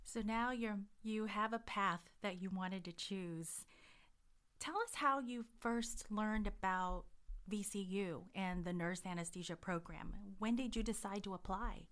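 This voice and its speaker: A woman's voice: medium pitch, unhurried, fairly bright tone. Woman, podcast host, interviewing a guest, conversational.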